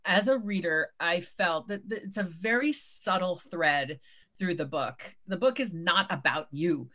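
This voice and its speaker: A woman moderating a book conversation, measured and thoughtful with frequent hesitations. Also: on the low side, a bit bright. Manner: measured, many um and uh fillers. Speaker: interviewer and moderator.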